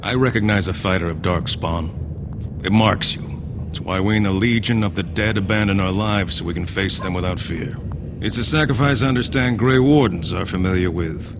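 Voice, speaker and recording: An actor playing a world-weary, blunt and sardonic dwarf warrior commander in a video game. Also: male voice, moderate pace. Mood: solemn. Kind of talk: video game dialogue acting. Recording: game audio with background ambient rumble.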